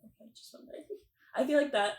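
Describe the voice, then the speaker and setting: A woman's voice: high voice, fast talker, echoey room, slight background noise. A woman in her twenties hosting a podcast, chatting casually with lots of fillers and frequent laughter. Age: 20s. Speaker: podcast host. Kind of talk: casual interview chat.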